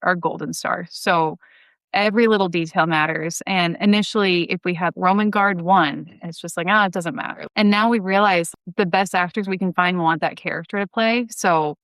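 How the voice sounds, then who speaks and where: A woman in her thirties talks as a podcast guest. Her voice is clear and resonant, sitting a bit low. She is enthusiastic and likes to stress a point, and the sound is close and dry. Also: moderate pace, fairly bright tone, dry recording. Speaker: podcast guest, audio drama writer. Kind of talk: conversational interview answers.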